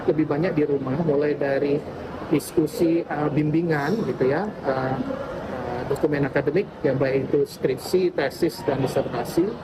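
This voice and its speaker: A man giving a halting, unscripted interview answer as an official. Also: fairly high pitch. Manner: hesitant, halting delivery. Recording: very noisy, vehicle sounds. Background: vehicle and ambient noise.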